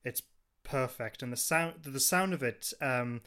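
A man's voice: mid-range voice, a little slow, pushed and bright. A man co-hosting a podcast, chatting casually and somewhat hesitantly, often repeating his phrases. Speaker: podcast co-host. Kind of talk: casual chat.

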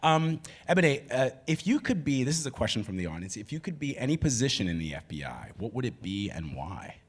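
A man moderating a panel before a live audience, telling lively, chatty anecdotes. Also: medium pitch, fairly quick, slightly rough. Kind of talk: casual panel talk.

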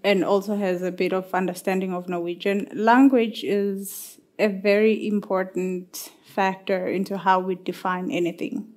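A woman's voice: medium pitch, steady tone, normal speed, hardly any pauses, darkish tone. A woman on a discussion panel, English not her first language, speaking reflectively about personal experience.

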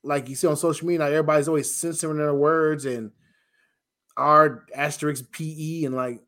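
A man with a rough voice talking bluntly and casually as a co-host on a talk show, sounding exasperated. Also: fairly high pitch, steady tone, a little fast, a bit bright. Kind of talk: casual opinionated conversation.

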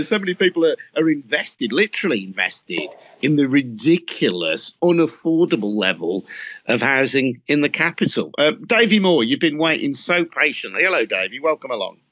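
A man from England hosting a discussion, talking in quick, animated bursts with frequent restarts. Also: medium pitch, highs cut off. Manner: lively, quick bursts with stumbling restarts and laughs. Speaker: radio phone-in host.

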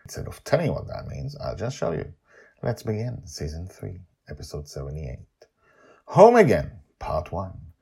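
A man's voice: sits low, huge pitch swings, normal speed, creaky, a little mellow, breathy edge. A man hosting a storytelling podcast, speaking in theatrical, emphatic short phrases. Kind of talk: dramatic podcast narration and commentary.

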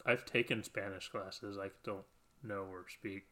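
A man's voice: fairly low pitch, steady tone, unhurried, pushed and bright. A man co-hosting a podcast, chatting casually in a rambling, self-deprecating way and laughing often. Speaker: podcast co-host. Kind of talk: casual chat.

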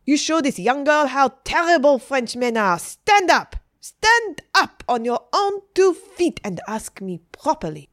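A woman's voice: high voice, lively intonation, moderate pace, dry recording, clean studio sound, pitched above the performer's own voice. A woman voicing a fiery, bossy Frenchwoman in a story, scolding emphatically and scornful of silly men. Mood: scornful, indignant.

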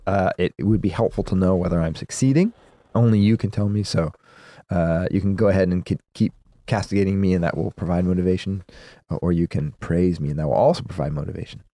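A man's voice: deep voice, quick pace, warm tone, no room sound. A man co-hosting and producing a podcast in casual banter, laughing often and letting his voice trail off at the ends of thoughts. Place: podcast studio. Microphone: studio microphone. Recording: dry studio sound.

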